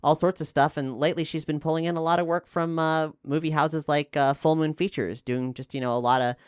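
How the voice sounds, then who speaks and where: A man from Florida with an American accent, talking casually and explaining things in a halting way, often correcting himself as he thinks aloud. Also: fairly high pitch, fairly even intonation, clear, resonant tone, dry recording, clean studio sound. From Florida, United States. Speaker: actual play podcast player and co-host.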